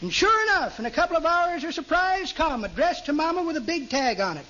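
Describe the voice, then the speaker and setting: A man's voice: extremely high pitch, very animated, fairly quick, sharp tone, exaggerated voice. An actor playing a cheerful, rambling old American man who tells tall tales in a folksy drawl, 1940s radio comedy style. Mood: chuckling, nostalgic. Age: elderly. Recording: dull, faintly noisy old radio recording.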